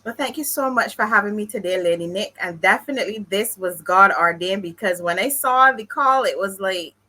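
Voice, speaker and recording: A woman's voice: medium pitch, brisk, pushed and bright. A woman from Barbados with a Barbadian accent, a gracious podcast guest.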